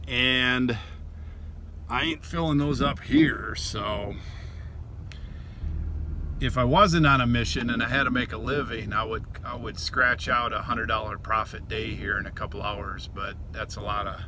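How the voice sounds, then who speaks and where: Midwestern man rambling over loud vehicle noise. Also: medium pitch, fairly even intonation, unhurried. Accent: American Midwestern. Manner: mumbling, chatty, offhand. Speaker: vlogger, reseller. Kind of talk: casual rambling vlog talk.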